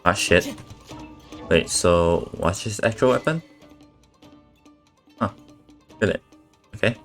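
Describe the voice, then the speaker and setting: Very low-voiced woman streaming. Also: expressive, slow. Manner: terse, muttered interjections. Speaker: game streamer. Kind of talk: casual live playthrough reactions. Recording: game music behind the voice.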